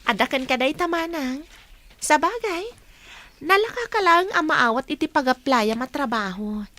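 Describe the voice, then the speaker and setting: High-pitched Filipino schoolgirl played by a woman. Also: lively intonation, moderate pace, pushed and bright. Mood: warm, encouraging. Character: cheerful dutiful teenage schoolgirl daughter, Hana. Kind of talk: Ilocano radio drama acting.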